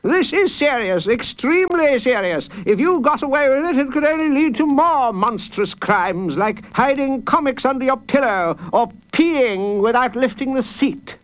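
Pompous old judge, high and blustering. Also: male voice, expressive, moderate pace, hardly any pauses. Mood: stern, indignant. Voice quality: growling, gruff. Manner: pompous, stammering with indignation. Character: blustering, self-important old judge, easily outraged.

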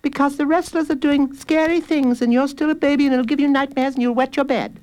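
Man doing a shrill bossy big sister. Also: steady tone, fairly quick, darkish tone. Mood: bossy, taunting. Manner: scornful, teasing. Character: bossy, taunting older sister, a young girl.

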